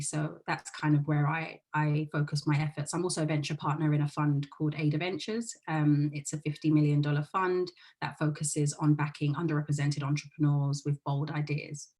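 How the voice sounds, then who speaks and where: Low, flat-voiced British woman panelist. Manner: measured, reflective, with frequent fillers. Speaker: panelist, angel investor. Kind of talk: panel introduction.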